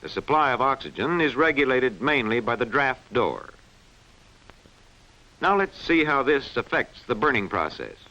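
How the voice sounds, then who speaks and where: Bright-voiced man narrating an old educational film. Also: medium pitch, very animated, moderate pace. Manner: clearly enunciated, didactic delivery. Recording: dull, band-limited vintage film soundtrack.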